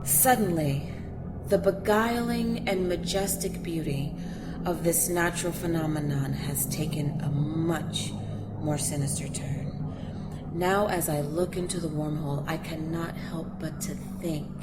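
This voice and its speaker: An actress playing an eager, dramatic field reporter aboard a spaceship in a science-fiction audio drama. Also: female voice, low-pitched, unhurried, loud music behind the voice. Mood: ominous. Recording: loud ship ambience behind voice.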